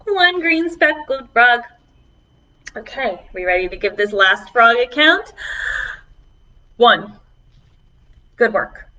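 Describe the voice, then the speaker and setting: Female children's librarian for preschool storytime: lively, swooping delivery, slow and encouraging, muffled lo-fi online video sound. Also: fairly high pitch, far from the mic. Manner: encouraging, animated, speaking to young children. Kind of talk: preschool singalong and chat to children. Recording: dull, lo-fi online video audio.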